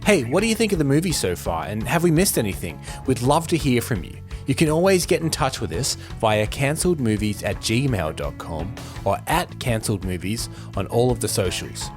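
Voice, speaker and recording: Male podcast host, medium pitch. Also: sing-song, normal speed, hardly any pauses, soft background music. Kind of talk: casual chat and friendly scripted sign-off.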